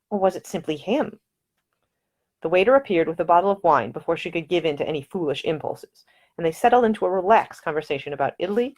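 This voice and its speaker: Woman author reading her novel aloud. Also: fairly low pitch. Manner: calm, even storytelling. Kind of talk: scripted audiobook narration.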